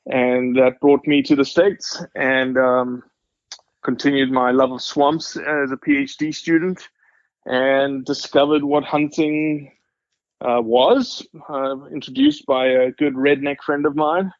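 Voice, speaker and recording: A man's voice: mid-range voice, slow, no echo, lo-fi sound. A South African man in his forties talking casually as a podcast guest, humble and dryly joking. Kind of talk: casual interview storytelling.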